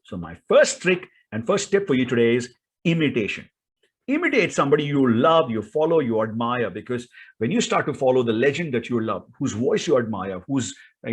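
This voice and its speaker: A man's voice: medium pitch, husky. A man with an Indian accent giving an instructional talk as a speaking coach, in an emphatic, theatrical way full of rhetorical questions.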